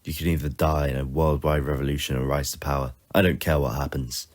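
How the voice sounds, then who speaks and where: A man voicing a troubled, moral time-travelling adventurer who is quick to anger, speaking emphatically in a fan audio drama. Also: rumbling low, fairly even intonation. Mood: angry. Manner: emphatic, indignant.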